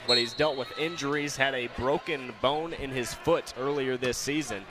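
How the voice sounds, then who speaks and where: American male play-by-play man over loud gym noise. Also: medium pitch, moderate pace. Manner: raises voice on big plays. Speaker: play-by-play volleyball commentator. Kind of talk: live sports commentary. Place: indoor sports arena during a match. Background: loud arena noise, balls bouncing.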